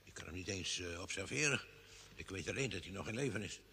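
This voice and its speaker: A man's voice: sits low, lively intonation, fast, pushed and bright, exaggerated voice. An actor playing a brusque, practical male ship's doctor in an old radio space drama, matter-of-fact and a bit testy. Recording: vintage radio drama, long studio reverb.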